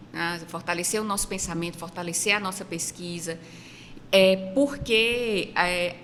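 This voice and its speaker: Bright-voiced woman, earnest academic panelist. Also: medium pitch, slight background noise. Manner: earnest, formal vocabulary, emphatic repetition. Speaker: academic researcher guest. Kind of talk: panel discussion, reflective answer. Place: makeshift studio at a university campus event.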